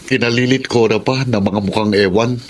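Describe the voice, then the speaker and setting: An actor with a Filipino accent playing a young, jealous and evasive boyfriend in a radio drama. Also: male voice, on the low side, fairly even intonation, fairly quick, lightly acted. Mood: defensive, suspicious. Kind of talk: Tagalog radio drama acting.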